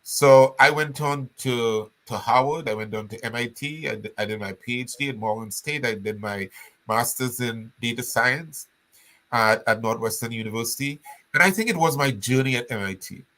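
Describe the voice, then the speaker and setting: An older man speaks as an interview guest. His voice is bright and forceful. He tells his story in an animated way, often restarting his sentences. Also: medium pitch, normal speed, poor audio. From Trinidad.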